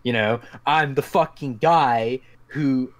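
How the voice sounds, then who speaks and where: A man's voice: mid-range voice, slow. A man hosting a casual podcast, talking over a voice call in a rambling, profane, self-mocking way. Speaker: podcast host.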